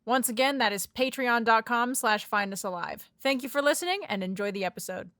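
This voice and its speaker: A woman's voice: fairly high pitch, fairly quick, noisy background. A woman reading a scripted podcast announcement to listeners. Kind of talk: scripted sponsor-style announcement.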